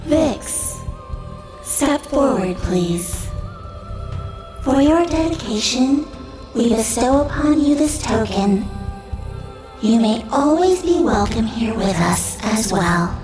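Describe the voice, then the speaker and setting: A woman's voice: fairly high pitch, expressive, takes it slowly, pauses often. A woman playing a gracious, solemn elven throne ruler, speaking formally and ceremonially in a fantasy audio drama. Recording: roomy echo, music underneath.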